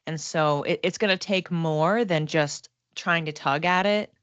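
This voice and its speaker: A woman giving a casual livestream psychic reading, talking to viewers conversationally and thinking aloud in halting phrases. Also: sits low, fairly bright tone, smooth, no room sound, highs cut off. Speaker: psychic reader and class teacher.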